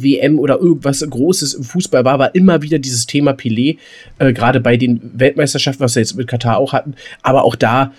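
A man chatting casually as a podcast co-host, colloquial and halting, rambling from thought to thought. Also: mid-range voice. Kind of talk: casual, unscripted podcast chat.

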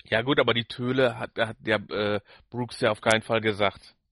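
Guy podcast cohost, normal pitch, halting casual chat, dry room sound. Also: steady tone, a bit bright, dry recording. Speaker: podcast co-host.

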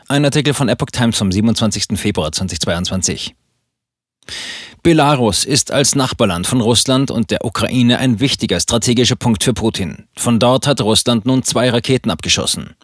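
A man reading scripted news articles for a podcast in a formal, measured manner. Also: mid-range voice, dry recording, clean studio sound.

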